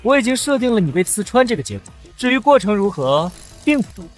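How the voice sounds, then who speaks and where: Man playing a defiant, animated young hero. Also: high voice, quick pace, soft background music. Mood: cold confidence. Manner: scornful, dramatic. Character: defiant, cocky young male sci-fi hero. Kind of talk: dramatic animated-comic dialogue.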